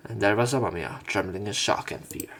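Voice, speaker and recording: A man's voice: lowish voice, brisk, a bit bright, a little hiss or hum. A man reading visual novel text aloud and commenting casually on it as a let's player.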